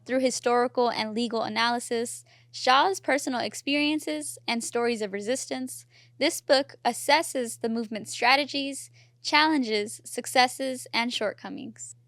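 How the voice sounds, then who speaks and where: Woman, podcast host, fairly high voice, scripted then casual, dry close sound, faint music. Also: a little slow, fairly bright tone, no echo. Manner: reads a prepared script, then chats with fillers. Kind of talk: scripted intro then casual interview chat.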